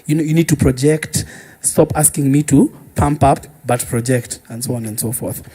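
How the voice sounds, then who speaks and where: A man's voice: medium pitch, normal speed, mellow, husky. A man with a Kenyan accent chatting casually as a podcast guest, explaining his points emphatically.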